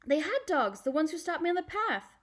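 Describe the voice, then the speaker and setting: A woman's voice: extremely high pitch, very animated, fairly quick, voice pushed up. A woman voicing an earnest, curious young girl in a storybook, eager and questioning.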